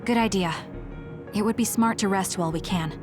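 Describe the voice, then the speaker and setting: An actress playing a cool, decisive young squad leader in an anime-style video game. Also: female voice, mid-range voice, fairly quick, music in the background, lightly acted. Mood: cool, resolute.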